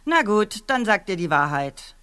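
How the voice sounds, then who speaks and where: A woman's voice: mid-range voice, very animated, a little slow, a bit bright, smooth. An actress playing a pushy yet flustered female neighbour in a radio play, insistent and hesitant. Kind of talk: German radio play acting.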